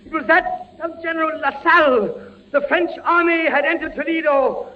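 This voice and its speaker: An actor playing a tormented, terrified captive captain in a theatrical 1940s radio drama, with impassioned delivery. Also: male voice, very high-pitched, moderate pace. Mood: feverish. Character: tormented male prisoner, a captain, desperate and terrified. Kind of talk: 1940s radio acting, dramatic first-person narration. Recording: muffled, noisy 1940s radio recording.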